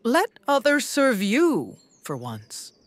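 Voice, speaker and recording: A gracious noblewoman, put on by a woman narrator, poised and smoothly condescending. Her lines lift and fall in a lively, unhurried way. She sounds a little away from the mic. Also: fairly high pitch, no echo, voice pushed up, heavily acted.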